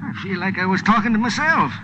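A noisy, dull old radio recording of a friendly old rummy sailor. His very high voice swoops about as he rambles, simple and folksy. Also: expressive, quick pace. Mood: puzzled, cheerful. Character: addled, friendly old drunken sailor sidekick. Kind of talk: 1940s radio drama acting.

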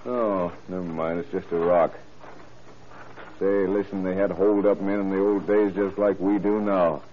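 A man playing a gruff, businesslike small-town undersheriff in an old-time radio crime drama, terse and plain-spoken. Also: deep voice, flat delivery, measured pace. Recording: noisy, tinny old radio broadcast recording.

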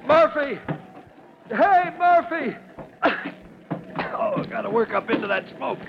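Young male rookie firefighter, high voice, earnest and effortful, radio serial style, muffled and noisy. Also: lively intonation, takes it slowly, heavily acted. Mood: straining, alarmed. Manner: effortful, breathy exclamations. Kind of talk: old-time radio acting.

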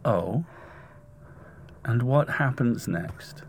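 A man's voice: medium pitch, animated, extremely slow, creaky, a little hiss or hum, heavily acted. A man playing a dead father as a looming, mock-affectionate and menacing apparition in a gothic audio drama. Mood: sinister, teasing.

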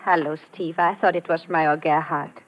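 Actress as polite, low-voiced anxious young woman. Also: flat delivery, moderate pace, natural voice. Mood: relieved, worried. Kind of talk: old-time radio drama acting. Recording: muffled, noisy vintage radio recording.

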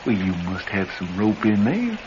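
An actor playing a rough, suspicious intruder with a blunt, folksy, ungrammatical way of talking in a radio drama. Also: male voice, lowish voice, huge pitch swings, moderate pace. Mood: bullying. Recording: dull, slightly noisy old radio recording.

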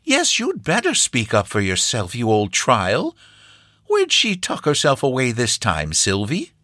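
A brisk, hospitable old country grandmother, as a male storyteller puts her on, fussing in a folksy rural way. Her voice sits well above a man's natural register and swings up and down. The recording is close and dry. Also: medium pitch, wildly swooping pitch, sharp tone, no room sound, voice pushed up, heavily acted. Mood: scolding. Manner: folksy rural scolding and offering.

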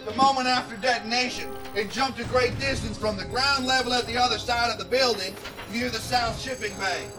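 A man playing an urgent, dutiful operations technician in a sci-fi audio drama, reporting in brisk technical language. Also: medium pitch, recorded from a distance, over music, natural voice.

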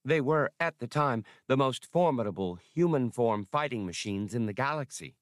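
A man's voice: mid-range voice, no room sound, clean studio sound, voice pushed up, lightly acted. A man voicing an eager, scholarly furry alien in an audiobook, speaking earnestly and formally.

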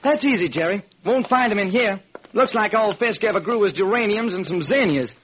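An actor playing a baffled, folksy police lieutenant in an old-time radio detective drama, talking in earnest slang. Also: male voice, high-pitched, lively intonation, fairly quick, a bit bright. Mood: puzzled, folksy. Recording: noisy vintage radio recording.

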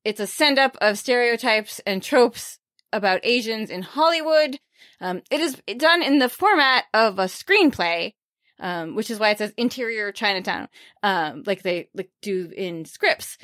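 A woman's voice: mid-range voice, animated, unhurried, a bit bright, no room sound, studio quality. A woman hosting a book podcast in casual chat, bubbly and talkative, laughing often. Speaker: podcast host.

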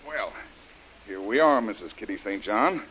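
A bossy American man of action from a classic radio serial. His voice sits low and his delivery is clipped and authoritative. A faint hiss of old broadcast noise runs behind him. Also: animated, a bit bright, low-quality recording. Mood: angry, forceful. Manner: brisk, authoritative, clipped orders. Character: tough, commanding male adventurer, bossy and impatient. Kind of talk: old-time radio adventure acting.

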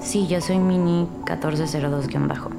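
A creaky, fairly low-voiced teenage girl gives a subdued confession in an audio crime drama. She is the popular schoolgirl turned suspect, speaking over background music. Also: fairly even intonation, normal speed. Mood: guarded confession. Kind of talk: scripted audio drama dialogue. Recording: music bed behind voice.

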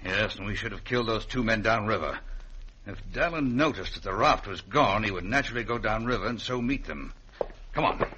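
An actor playing a cold, cultured outlaw boss in an old-time radio western, pompous, precise and menacingly genteel. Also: male voice, on the low side, animated, brisk, pushed and bright, slightly rough, muffled recording, exaggerated voice. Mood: cold, commanding. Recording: faintly noisy old radio recording.

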